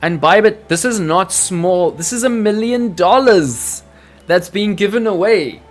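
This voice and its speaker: A man's voice: high-pitched, lively intonation, a little slow, faint music behind. Hyped male crypto livestream host. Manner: hyped, salesy, addresses chat directly. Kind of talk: live-stream chat with viewers.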